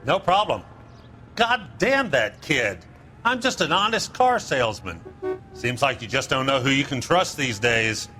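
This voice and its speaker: A 1940s American used-car dealer for a crime video game. He is bright and forceful, swinging widely in pitch, full of glib, evasive sales patter. Faint music plays behind him. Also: very animated, a little slow. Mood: evasive, aggrieved. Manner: smooth-talking salesman patter, defensive. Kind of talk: dramatic game acting, period crime story.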